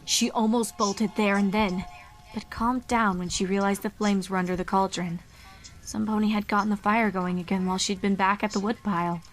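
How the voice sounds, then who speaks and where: A woman's voice: mid-range voice, steady tone, moderate pace. Woman narrating a spooky story over music. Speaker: fan fiction narrator. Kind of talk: scripted horror story narration.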